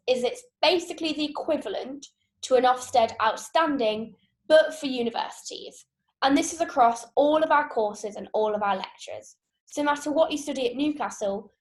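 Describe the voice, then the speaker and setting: A young woman in her twenties, an outreach ambassador, giving an upbeat, enthusiastic talk to prospective students. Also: sits high. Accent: non-Geordie British.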